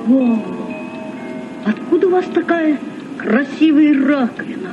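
An actor playing an eager, curious boy captain in a children's radio play, with excited exclamations. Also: very high-pitched, a little slow, over music. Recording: muffled, noisy old radio recording.

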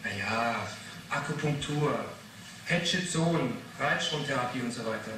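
A man's voice: mid-range voice, unhurried, close to an everyday voice. An actor in a 1980s radio play playing a defensive, irritable man under questioning, evasive and explanatory. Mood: irritated. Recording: very echoey, noisy, distant radio drama.

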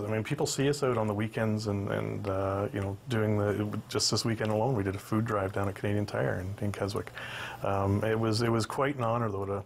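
A man's voice: fairly low pitch, creaky, slight background noise. A man in his forties, a volunteer organizer, eagerly answering interview questions in a TV studio. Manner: eager, agreeable, quick affirmations. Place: local TV studio set.

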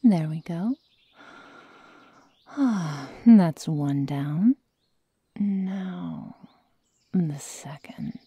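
A woman playing a sadistic young werewolf girl in an ASMR roleplay, coldly teasing and menacing in soft, breathy, often whispered speech close to the microphone. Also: lowish voice, expressive, dry recording, heavily acted. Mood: sadistic calm. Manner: slow, soft, mock-tender menace. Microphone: close microphone, dry sound.

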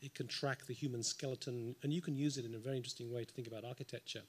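A man speaks at a symposium panel table, thinking aloud with frequent ums and dry little asides. His voice sits at an ordinary middle pitch. He is picked up by a table microphone in a large lecture hall. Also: steady tone, rapid-fire, husky. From United Kingdom. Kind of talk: unscripted panel response.

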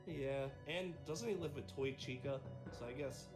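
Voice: mid-range voice, normal speed, over music, natural voice. A performer playing an easygoing, eager and slightly anxious security guard with a hesitant manner in a game roleplay. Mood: musing. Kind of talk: improvised game roleplay acting.

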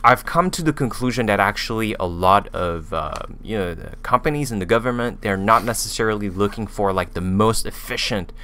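French-accented man in his thirties, low voice with a bright edge, casual hesitant reviewer on a studio mic. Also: brisk, few pauses. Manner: hesitant, many fillers and restarts. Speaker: language learning YouTuber. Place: small room indoors. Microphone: studio mic with pop filter on boom arm.

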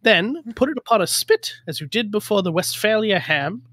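High-voiced man reading an old recipe. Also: expressive, normal speed, fairly bright tone, no echo, clean studio sound. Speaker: co-host reader.

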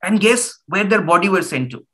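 Bright-voiced Indian man, earnest. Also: high-pitched, moderate pace. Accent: Indian English. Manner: earnest, pointed rhetorical questions; animated hand gestures. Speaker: human rights lawyer. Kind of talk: public talk. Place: small room at home, indoors.

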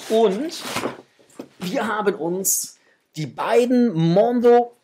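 A man in his thirties with a slightly husky voice, chatting informally to viewers as host of an unboxing video. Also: high-pitched, very slow, noisy background. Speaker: host of a toy collecting channel. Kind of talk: casual chat, unboxing to camera. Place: small room at home, indoors.

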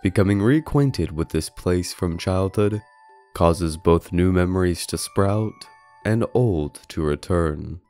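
Male essay narrator, low and soft-toned, scripted and measured delivery, faint music behind. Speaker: video essay narrator and reviewer. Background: background music.

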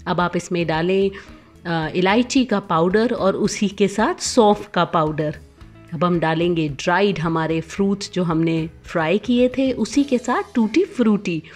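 A woman, host of a cooking channel, narrating a recipe voice-over with clear step-by-step instructions. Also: on the low side, a little slow, darkish tone, faint music behind.

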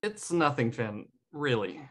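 A man's voice: medium pitch, big pitch swings, measured pace, fairly bright tone. An American man playing a casual, defensive buddy in a sitcom table read. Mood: easygoing. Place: dim indoor room, on a video call. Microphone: video call microphone.

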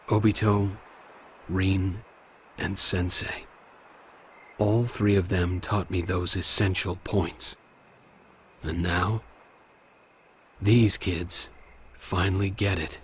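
An actor playing a laid-back, reflective ninja teacher, musing and understated, in an English anime dub. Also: male voice, deep voice, fairly even intonation, slow, long pauses, slight background noise.

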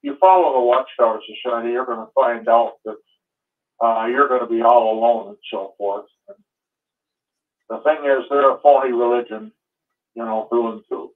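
A man's voice: medium pitch, normal speed, pauses often. A man calling in to a talk show, speaking in long runs padded with trailing fillers. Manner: repetitive, trailing fillers ("and so forth"). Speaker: caller. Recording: phone line.

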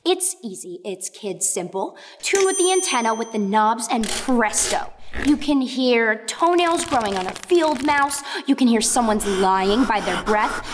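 Woman as an excitable girl inventor in a reverberant hall. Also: on the high side, measured pace, hardly any pauses. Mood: excited, proud. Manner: emphatic, excitable. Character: eager, stubborn girl inventor, proud and dramatic. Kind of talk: stage-style radio play acting. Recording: reverberant room, noisy with clicks.

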